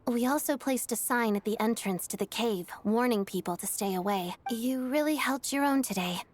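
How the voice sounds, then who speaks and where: A woman's voice: fairly high pitch, normal speed, slight background noise, lightly acted. An actress playing a composed, courteous young female soldier and ballerina, speaking politely and with reserve for a video game.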